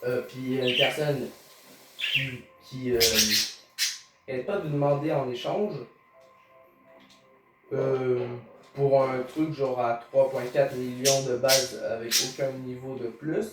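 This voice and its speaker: A Canadian man with a Québécois accent talks slowly and haltingly over his gameplay, stammering through fillers. He sounds set back from the mic in a very echoey room. Music plays clearly behind him. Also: medium pitch, fairly even intonation. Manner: hesitant, stammering, many fillers. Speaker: gaming YouTuber. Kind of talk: casual gameplay commentary. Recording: roomy echo, distant mic, music behind.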